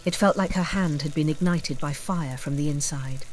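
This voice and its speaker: A woman narrating a scripted dark fantasy story in a dramatic, deliberate audiobook style. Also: sits low, low-quality recording. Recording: music bed behind the voice. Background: background music.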